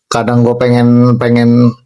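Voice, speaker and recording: A man's voice: medium pitch, completely flat delivery, unhurried, warm tone, clean tone, low-quality recording. A man in a live-stream gossip chat, stammering and repeating words, scornful when he tells a story.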